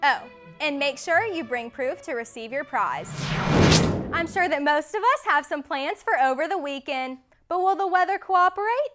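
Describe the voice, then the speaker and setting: High-voiced teen girl news anchor. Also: lively intonation, moderate pace, fairly bright tone, faint music behind. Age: teens. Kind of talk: scripted school newscast reading. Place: school studio.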